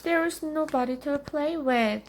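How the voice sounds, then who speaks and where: Woman doing a high, earnest little witch girl. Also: measured pace, slight background noise. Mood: bored, whiny. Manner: earnest, pleading. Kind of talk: children's storybook character.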